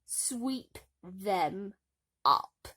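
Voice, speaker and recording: A woman's voice: mid-range voice, animated, extremely slow, fairly bright tone, husky, exaggerated voice. A woman voicing a proud, cruel stepmother in a storybook, haughty and disdainful, stretching out her phrases. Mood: commanding. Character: proud, snobbish, cruel middle-aged stepmother.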